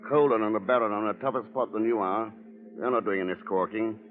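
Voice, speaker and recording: A man plays a cool, commanding agent in an old radio thriller. His voice is fairly low, dry and clipped. It sounds narrow and phone-like. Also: steady tone, quick pace, lightly acted. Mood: stern. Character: cool, wry, tough secret-agent hero. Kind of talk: 1940s radio acting.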